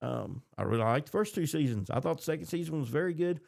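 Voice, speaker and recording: A man's voice: on the high side, expressive, fast, gravelly, darkish tone. An American man hosting a podcast, chatting casually about TV shows in reaction to them. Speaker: podcast host.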